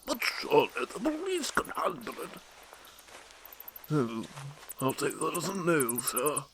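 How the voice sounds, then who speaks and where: A man's voice: a little high, wildly swooping pitch, measured pace, gravelly, fairly bright tone, slight background noise, exaggerated voice. A man playing a fretful, dutiful older butler in a radio drama, speaking formally and pleadingly. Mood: worried.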